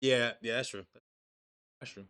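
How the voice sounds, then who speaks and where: A man's voice: mid-range voice, fairly even intonation, measured pace, bright, forceful tone, clean tone. A young man co-hosting a casual anime discussion stream, profane and loose, reading chat messages aloud and restarting his sentences. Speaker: stream co-host.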